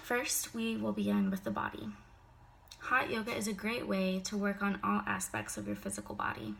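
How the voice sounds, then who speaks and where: A woman's voice: mid-range voice, expressive, moderate pace, creaky, fairly bright tone. A young woman giving a prepared class speech, a little sick so her voice sounds slightly off, mostly recited with occasional casual fillers.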